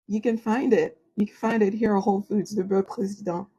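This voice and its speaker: A woman whose first language is French chats loosely with her livestream viewers about astrology and food. Her voice is husky and a little breathy and sits at a middling pitch. She leans on "like" and "you know" as she goes, but keeps her English careful and formal. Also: fairly even intonation, brisk, mellow, dull audio. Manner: chatty, many "like" and "you know" fillers; self-described formal when speaking English. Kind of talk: casual livestream chat with viewers.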